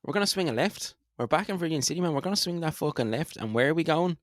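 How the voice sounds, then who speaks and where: A man co-hosting a role-play podcast, bantering casually and excitably, swearing and repeating himself for emphasis. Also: on the high side, very fast, no echo, clean studio sound. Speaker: podcast co-host and player in an audio role-play. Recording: music bed clearly under voice.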